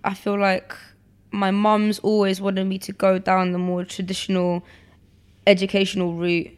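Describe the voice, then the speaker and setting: A woman's voice: medium pitch, monotone, normal speed, smooth. A woman, chatting casually as an interview guest with many fillers and restarts. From born in Poland, raised in London. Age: 20s. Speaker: guest, photographer.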